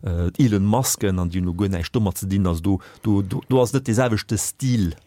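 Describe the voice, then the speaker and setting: A man with a fairly low voice and a Luxembourgish accent talks film on a radio panel. He works through his points haltingly, repeating small words, in a dry, close sound. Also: dark tone, dry recording. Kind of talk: unscripted film discussion.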